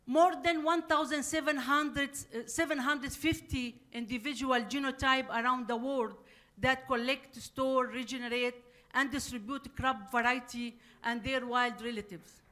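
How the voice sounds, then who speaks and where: A woman from Syria with a Syrian Arabic accent giving a scientific conference presentation in halting non-native English. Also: high-pitched, fairly even intonation, sharp tone. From Aleppo, Syria. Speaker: conference speaker, plant virologist.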